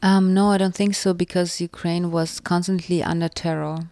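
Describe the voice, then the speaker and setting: A woman from the former Soviet Union, a native Russian speaker with a Russian accent, answering interview questions in a reflective way and often pausing to search for words. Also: lowish voice, little pitch movement, darkish tone, no echo. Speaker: author and interviewee.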